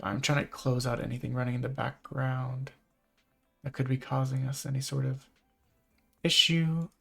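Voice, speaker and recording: Male streamer, congested, gravelly, casual rambling chat. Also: mid-range voice, moderate pace, faint music behind. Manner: relaxed, rambling, self-deprecating. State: stuffy, congested nose. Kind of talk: casual live-stream chat while gaming.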